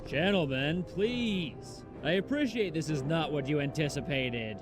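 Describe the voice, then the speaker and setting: Man as a high-voiced, ambitious pirate warlord. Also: expressive, a little slow, over loud music. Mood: persuasive. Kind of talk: comic dub voice acting.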